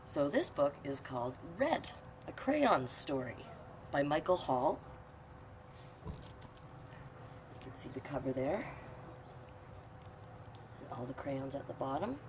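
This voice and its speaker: A middle-aged woman with a very low voice hosts storytime for children. She explains pictures and prompts young listeners between bits of reading. There is clear background noise on the recording. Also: sing-song, slow pace, pauses often. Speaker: online storytime host and reader. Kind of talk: children's storytime, reading aloud with chatty asides.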